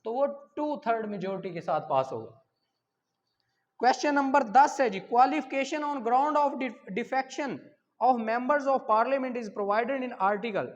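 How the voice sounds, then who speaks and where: A woman's voice: fairly high pitch, lively intonation, slow. A woman with a Pakistani accent teaching like an exam tutor, reading out questions and explaining the answers in a didactic way. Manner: didactic, poses questions then answers them, repeats key points. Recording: muffled lo-fi sound, long hall-like reverb.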